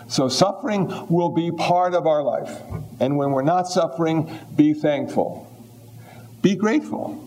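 A man's voice: on the high side, measured pace, darkish tone, poor audio. Wry seventy-something man teaching Buddhism. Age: 70s. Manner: easygoing, wry, acts out little voices. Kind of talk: humorous dharma talk.

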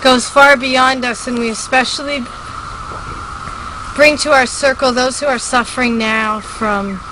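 A woman giving a solemn, measured reading of a ceremonial statement. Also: fairly even intonation. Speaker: reader of a conference statement. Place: gathering at a hermitage. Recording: clear ambient rumble and noise.

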